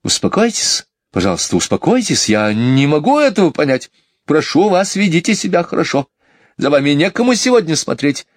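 A man's voice: a little high, big pitch swings, brisk, dry recording, voice pushed up. A man voicing an anxious, helpless, genteel mother in a storybook, polite but agitated and pleading. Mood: flustered pleading. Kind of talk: audiobook character voice.

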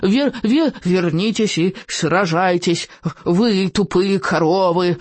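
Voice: medium pitch, takes it slowly, no echo. A narrator voicing a small, naughty, greedy dragon who stutters on first syllables, in an audiobook story. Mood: taunting.

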